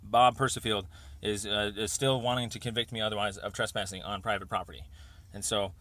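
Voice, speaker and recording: Guy leaving a rambly voicemail, lowish pitch, sharp bright voice, lots of halting. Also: steady tone. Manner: halting, hesitant, piecing thoughts together. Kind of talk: rambling informal phone message. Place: voicemail left over the phone. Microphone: telephone.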